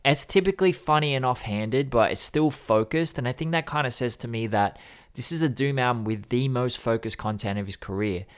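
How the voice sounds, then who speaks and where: A man's voice: medium pitch, brisk, clean tone. A man co-hosting a music podcast, reviewing an album in an earnest, analytical and enthusiastic way. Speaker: podcast co-host.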